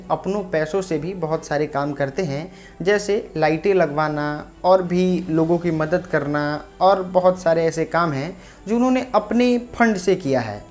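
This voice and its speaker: A woman's voice: on the low side, a little mellow. A woman presenting a local news report, narrating and speaking directly to viewers. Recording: faint music bed behind voice.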